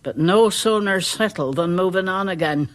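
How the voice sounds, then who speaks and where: An actress playing a superstitious, deferential village charwoman in a radio drama, with a rural English accent and a halting, folksy way of talking. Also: fairly low pitch, lightly acted. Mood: shaken, ominous.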